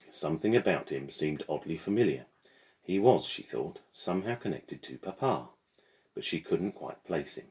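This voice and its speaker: Very deep-voiced male storyteller. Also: a little mellow. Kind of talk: reading a children's novel aloud.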